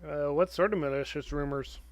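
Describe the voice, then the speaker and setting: A man's voice: on the high side, fairly quick, slight background noise. A man streaming a video game, giving casual, offhand, musing commentary.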